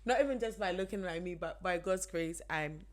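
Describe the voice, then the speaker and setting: A woman in her thirties co-hosting a podcast with her husband, chatting in a relaxed way and laughing easily. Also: fairly low pitch, brisk. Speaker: podcast co-host. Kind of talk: casual chat.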